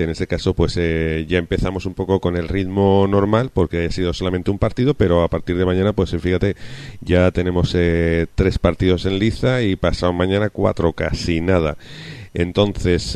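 A man hosts a relaxed radio chat in a very low voice. He is chatty and friendly as he steers his guests. The sound is dry and close, with no room around it. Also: smooth, no echo. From Canary Islands. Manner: relaxed, chatty, leads the panel with friendly questions. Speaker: radio talk show host. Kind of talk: casual radio panel chat.

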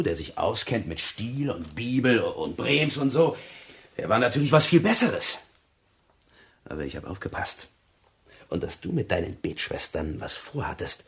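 A brutish crook from a crime radio play, with a low voice that swings widely. He taunts and sneers, gloating and menacing. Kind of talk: German crime radio drama acting.

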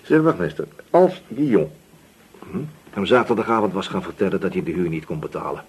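A man acting a thoughtful, suspicious police inspector in a radio drama, speaking hesitantly with musing pauses. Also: deep voice, sing-song, slightly rough, exaggerated voice. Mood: probing, suspicious.

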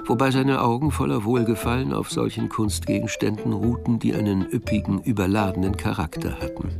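A man reads literary narration for a radio drama. His voice sits fairly low and has a creaky grain. Music plays clearly behind him.

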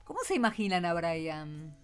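A woman's voice: on the low side, big pitch swings, normal speed, faint music behind. A woman with an Argentine accent hosting an informal radio chat with schoolchildren, prompting with short questions and echoed answers. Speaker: radio host.

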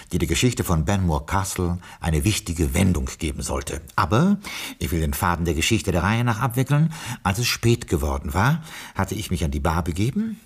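An actor playing a cultured, wry butler who narrates a radio play in a formal, slightly pompous way. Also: male voice, deep voice, breathy edge, full character voice.

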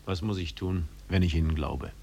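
A man's voice: deep voice, moderate pace, dark tone, husky. A male actor playing an anxious, law-fearing man in a 1950s German radio drama, grave and questioning. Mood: troubled. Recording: noisy 1950s radio recording.

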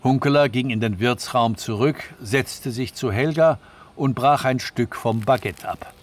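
A man's voice: medium pitch, measured pace. A man narrating a crime story for a radio drama in a scripted, storytelling style.

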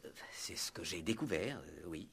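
An actor playing a timid, deferential police inspector in a 1960s radio play, hesitant, stammering and apologetic. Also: male voice, low voice, animated, moderate pace, creaky, a bit bright, exaggerated voice. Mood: sheepish.

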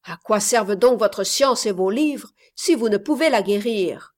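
A woman voicing a blustering, miserly old father who exclaims loudly and insistently, in a read-aloud story. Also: medium pitch, expressive, measured pace, lightly acted.

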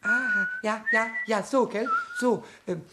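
Creaky, excitable little kobold. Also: male voice, a little slow, heavy background noise, full character voice. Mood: curious, frustrated. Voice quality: squeaky, creaky cartoonish voice. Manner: stammering eagerly, repeating words, pleading. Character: small childlike male kobold, cheeky, whiny and excitable. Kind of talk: children's radio play acting.

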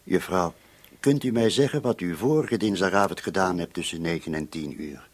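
Low, husky, probing police commissioner. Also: male voice, normal speed. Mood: probing, stern. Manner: formal, insistent, probing questions. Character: persistent, coolly polite male police commissioner investigating murder. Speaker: actor in a radio play. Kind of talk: Dutch-language radio drama acting.

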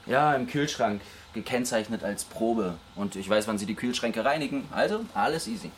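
An actor playing an easygoing young lab researcher who deals on the side, talking casually in a naturalistic radio drama. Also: male voice, mid-range voice, expressive, normal speed, slight background noise, lightly acted. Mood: reassuring. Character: easygoing young male lab researcher dealing homemade speed. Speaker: research assistant.

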